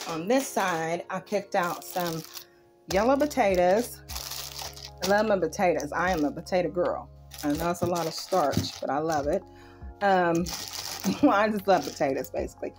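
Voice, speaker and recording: Low-voiced woman chatting through a grocery haul. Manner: informal, colloquial grammar, itemizing. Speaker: vlogger showing a grocery haul. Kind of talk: casual chat to viewers, product show-and-tell. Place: home kitchen. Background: music.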